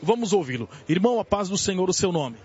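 A man with a Brazilian accent and a husky voice, reporting live for evangelical radio in a declamatory way. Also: sits high, very animated, quick pace, darkish tone, lo-fi sound. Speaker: church radio reporter and elder.

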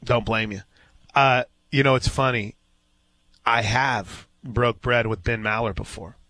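A man hosts live sports radio in a close, dry-sounding studio voice of middling pitch. He chats and tells stories casually, with folksy exclamations. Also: fairly even intonation, a little slow, bright, forceful tone, no room sound.

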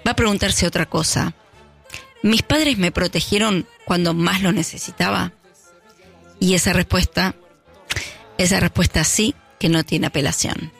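An Argentine man speaks as a radio host, his voice set high and gravelly with fry. He delivers a reflective monologue in measured lines, pausing for emphasis. Soft music runs faintly beneath him on the broadcast.

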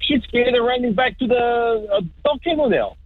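An Australian man in his forties rings a talkback show and argues his point with heat and rambling detours. His voice sits high and sounds husky. It comes through a tinny, dry phone line. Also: moderate pace, no room sound. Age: 40s. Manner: heated, opinionated, rambling. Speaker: caller. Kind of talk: talkback radio call.

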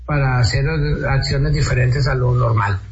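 A man's voice: medium pitch, fairly even intonation, normal speed. A man giving spontaneous interview answers, hesitant and repeating himself. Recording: dull lo-fi radio audio, background noise.

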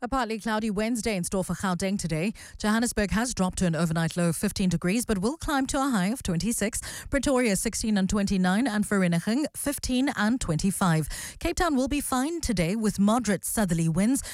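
A woman reading the weather report and news sign-off on the radio, crisp and even. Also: medium pitch, a little fast, hardly any pauses, no echo, studio quality.

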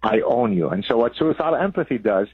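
A man's voice: medium pitch, lively intonation, fast talker, husky, no echo. A man in his sixties from Lebanon, explaining things as an interview guest, over a narrow phone-like line. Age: 60s. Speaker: interview guest, professor and author.

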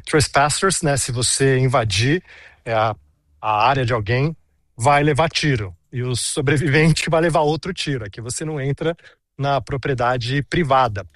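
A man's voice: medium pitch, no echo, clean studio sound. A man in his thirties giving a chatty on-location report, telling stories with many asides. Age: 30s.

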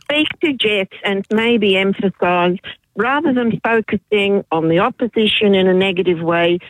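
A woman with a mid-height voice calls in to a talkback radio show and puts her view in an earnest, measured way. Her voice sounds dry and close. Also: medium pitch, dry recording, rough recording. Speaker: caller. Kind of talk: talkback call.

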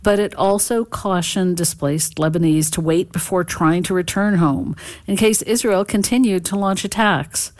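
A woman giving a steady, serious radio news report as a correspondent. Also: on the low side, normal speed, no room sound.

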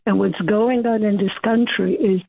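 Woman, late seventies, from Poland, radio caller, gravelly fry, narrow phone sound, distressed, impassioned. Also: mid-range voice, fairly even intonation, moderate pace. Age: late 70s. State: shaken, distressed. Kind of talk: impassioned personal call-in remarks. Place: office, calling in by phone. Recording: narrow, muffled phone line.

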